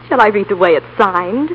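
A woman's voice: medium pitch, big pitch swings, measured pace, a little hiss or hum. An American woman presenting scripted 1930s radio advertising dialogue. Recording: muffled 1930s radio broadcast.

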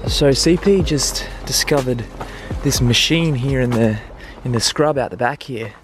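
Young male vlogger, casual and curious, mid pitch, outdoors on a camera mic, music under him. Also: measured pace. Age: young adult. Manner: curious, rambling with fillers. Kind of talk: casual exploring chat. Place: outdoors in overgrown abandoned site.

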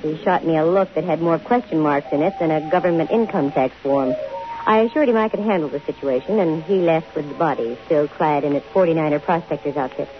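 A woman's voice: low voice, a little fast, close to an everyday voice. An actress playing a wisecracking, self-assured female private detective with dry, sardonic wit and an American accent, in 1950s radio drama style. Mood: dry narrating. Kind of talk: 1950s American radio drama acting with first-person narration. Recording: muffled 1950 radio broadcast, hissy.

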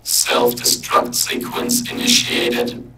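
A man voicing a cold, menacing robot computer through a buzzy metallic vocoder, in deliberate announcements. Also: extremely high pitch, completely flat delivery, pushed and bright, reverberant, recorded from a distance, soft background music, voice pushed up, exaggerated voice, low-quality recording. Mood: cold, mechanical. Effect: vocoder robot filter.

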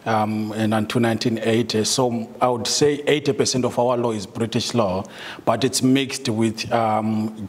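A man's voice: medium pitch, long reverb tail. A middle-aged man from Zimbabwe with a Zimbabwean accent, giving a talk to staff with many ums and uhs and some light laughter. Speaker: guest speaker, solicitor. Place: meeting room, indoors.

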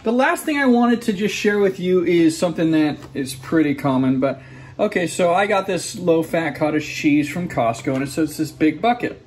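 A man's voice: fairly high pitch, normal speed. A man in his forties hosting a casual how-to vlog in his home kitchen, filmed on a phone held at arm's length, chatty and explanatory, often checking in with "okay?". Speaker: channel host. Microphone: phone camera held at arm's length.

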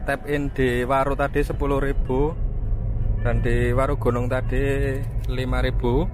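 A man's voice: mid-range voice, level tone, a little slow, music in the background. A man chattily narrating a road trip from inside his car, guiding viewers along the route. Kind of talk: casual narration while driving.

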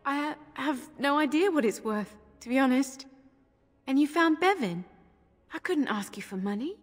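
A woman's voice: high-pitched, animated, normal speed. A woman playing a humble, grateful young village woman in a video game, speaking hesitantly and earnestly. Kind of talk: video game character dialogue. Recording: long reverb, music underneath.

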